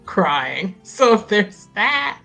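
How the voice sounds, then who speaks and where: A woman's voice: medium pitch, very animated, very slow, vocal fry, pushed and bright, soft background music. A woman playing a tabletop roleplaying game, narrating and chatting casually with a jokey, sweary edge.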